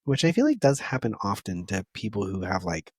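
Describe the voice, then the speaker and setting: A man's voice: lowish voice, wildly swooping pitch, a little fast, a little mellow, husky, no echo, clean studio sound. A man co-hosting a podcast in casual chat, laughing easily and reading quotes aloud as he tells a story. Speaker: podcast co-host.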